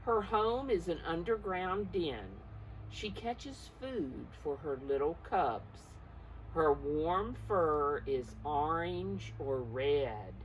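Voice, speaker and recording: A woman teacher reading a picture book aloud to preschoolers, encouraging them with simple explanations and questions, emphasising key words, in a slightly noisy recording. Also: deep voice. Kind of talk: interactive picture-book read-aloud for young children.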